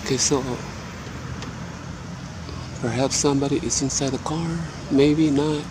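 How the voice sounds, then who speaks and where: A man's voice: mid-range voice, level tone, measured pace. A man filming outdoors at night, talking casually and hesitantly with many fillers as he narrates what he sees. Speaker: camera-filming auditor. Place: residential street at night, outdoors. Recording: loud traffic noise outdoors.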